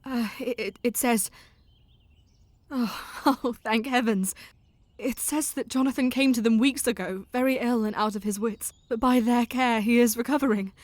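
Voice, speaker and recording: Actress as an earnest, nervous young woman, caring, stammers, a little high. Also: moderate pace, a little mellow, no room sound, lightly acted. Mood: relieved. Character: earnest, devoted young woman, anxious and self-doubting. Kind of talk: audio drama acting and diary narration.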